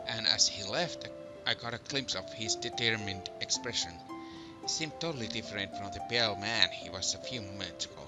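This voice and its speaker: A man's voice: on the low side, a little fast, low-quality recording. A man reading scripted story narration for an amateur game fandub. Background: loud background music.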